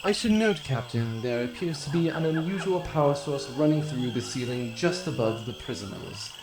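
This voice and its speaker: A man's voice: mid-range voice, lively intonation, moderate pace, noisy background, natural voice. A man playing a formal, literal-minded ship's computer that gives exact reports in a comic sci-fi audio drama, in a strongly echoing sound. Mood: formal, dutiful.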